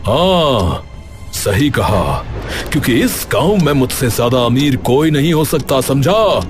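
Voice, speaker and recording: Man acting a menacing, animated village headman villain. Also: mid-range voice, slow, soft background music. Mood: boastful, domineering. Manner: menacing, taunting, gloating. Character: greedy, cruel, domineering village headman villain. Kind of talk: cartoon story dialogue.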